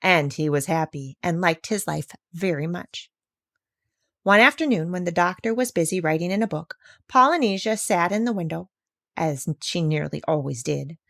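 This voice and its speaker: Expressive woman reading a children's story. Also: on the low side, dry recording, studio quality. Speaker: audiobook reader. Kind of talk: scripted children's story narration.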